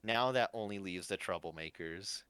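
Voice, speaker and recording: A man's voice: low-pitched, moderate pace, sharp tone, clean tone, no room sound. A man narrating a fan-fiction story as its host. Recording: faint music behind. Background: faint music.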